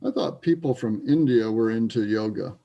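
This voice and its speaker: A man's voice: medium pitch, normal speed, vocal fry, dull audio, natural voice. A man playing a grieving, tipsy and guarded man in readers theater, speaking hesitantly.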